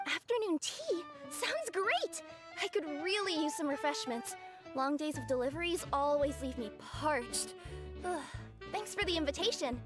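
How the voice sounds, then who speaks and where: A high, lively young female courier from a video game. She is cheerful, eager and politely businesslike, over background music. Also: a little slow. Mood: bright, friendly.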